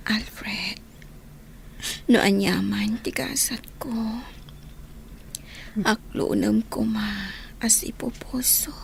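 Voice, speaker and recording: An actress playing a grieving, tender woman in a radio drama, speaking in a weak breathy whisper. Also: mid-range voice. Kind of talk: radio drama acting in Ilocano. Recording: music under the voice.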